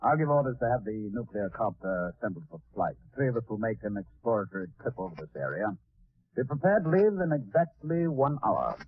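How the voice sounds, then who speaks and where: An actor playing a calm, decisive spaceship commander in 1940s radio drama style, giving clipped orders with authority. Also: male voice, on the low side, brisk. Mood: measured, formal. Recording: tinny, noisy old radio broadcast.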